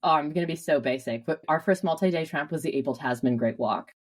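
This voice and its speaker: A Canadian woman telling stories with lively energy as an interview guest, laughing often. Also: deep voice, fast talker. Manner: animated storyteller, laughs often. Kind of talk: casual interview.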